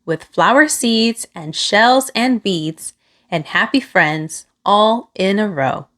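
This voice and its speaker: A woman reading a children's picture book aloud, with expressive, deliberate phrasing aimed at young listeners. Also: medium pitch. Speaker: children's storybook reader.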